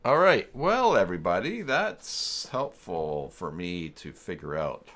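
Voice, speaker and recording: Male livestream host, deep register, casual and rambling, talks through his thoughts as he goes. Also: very animated, a little slow, a bit bright. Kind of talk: casual livestream chat, thinking aloud.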